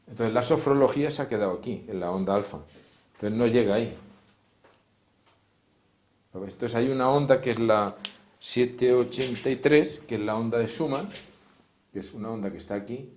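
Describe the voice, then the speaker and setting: A man's voice: mid-range voice, normal speed, pauses often, a little mellow. A man giving an informal, didactic class, explaining step by step and addressing his listeners directly. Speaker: therapist teaching a class. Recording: echoey room.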